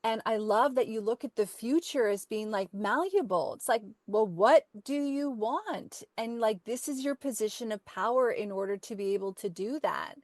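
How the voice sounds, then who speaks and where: A woman's voice: a little high, expressive, moderate pace, few pauses, dry recording, studio quality. A woman hosting a podcast, asking long, affirming questions in an enthusiastic, rambling way. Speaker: podcast host. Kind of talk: conversational interview.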